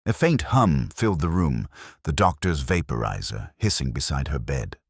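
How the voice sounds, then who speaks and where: Male narrator, very deep and animated, dramatic detective fiction, measured literary phrasing. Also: vocal fry, slightly rough, no room sound, studio quality. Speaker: narrator and audiobook reader.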